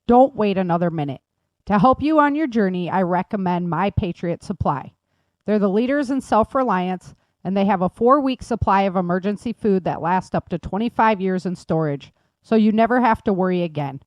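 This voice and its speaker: A woman's voice: mid-range voice, animated, moderate pace, darkish tone, dry recording. A woman host reading scripted sponsor messages and promos for her own series.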